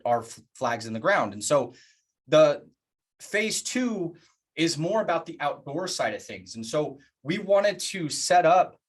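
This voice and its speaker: Earnest man giving a public address. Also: mid-range voice. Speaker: organization leader presenting plans.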